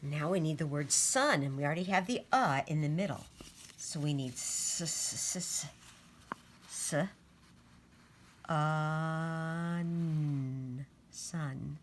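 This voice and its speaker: Slow, low-pitched woman teaching young children. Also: slight background noise. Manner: sounds out letters and words deliberately. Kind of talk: storybook read-aloud and phonics lesson.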